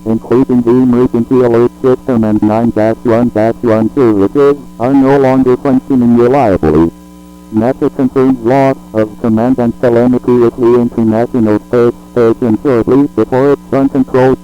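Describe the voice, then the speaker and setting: A synthetic-sounding man reads a scripted emergency alert in a formal, impersonal, flat manner. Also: medium pitch. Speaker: emergency broadcast announcer. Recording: dull lo-fi audio with music.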